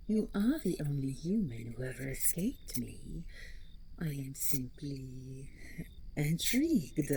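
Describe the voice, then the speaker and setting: A woman playing a menacing, mocking female ghost in an audio roleplay, with a whispery rasp and a taunting, drawn-out delivery. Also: on the low side, warm tone, heavily acted.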